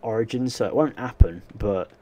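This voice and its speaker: A man's voice: lowish voice, moderate pace, dark tone. A young man, chatting casually and answering chat questions while playing a game live. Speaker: gaming livestreamer.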